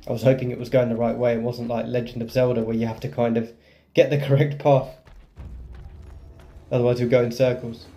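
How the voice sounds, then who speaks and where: A man's voice: medium pitch, little pitch movement, brisk, pauses often, mellow, faint music behind. A man giving casual commentary as he plays through a video game. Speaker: gaming video host.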